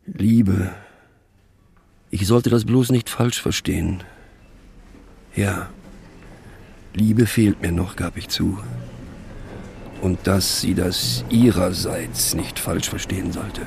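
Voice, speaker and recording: An actor playing a wry, brooding divorced man who philosophizes aloud in a radio play, with a pensive, mocking, deliberate manner. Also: low voice, steady tone, vocal fry, a little mellow, a little hiss or hum, full character voice. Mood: rueful, ironic. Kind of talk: radio play monologue, musing aloud to a listener.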